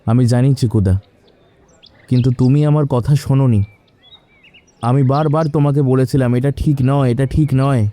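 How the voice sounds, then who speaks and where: Cartoon sidekick, young male, admonishing and moralising, very mellow dark timbre male actor. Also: medium pitch, fairly even intonation, moderate pace, pauses often, close to an everyday voice. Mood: reproachful. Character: reproachful young male sidekick of a village cheat.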